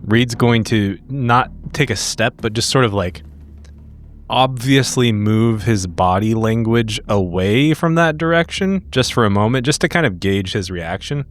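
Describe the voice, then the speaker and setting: A man's voice: mid-range voice, measured pace. A man playing a tabletop role-playing game, talking casually and bluntly in and out of character. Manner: blunt, sweary. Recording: music behind. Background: clear background music.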